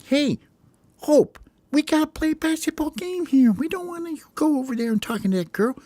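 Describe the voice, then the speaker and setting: A man voicing a bunch of frustrated male sparrow basketball players, nagging and yelling impatiently at their captain. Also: very high-pitched, animated, normal speed, no echo, voice pushed up. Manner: impatient, nagging, shouting across the court.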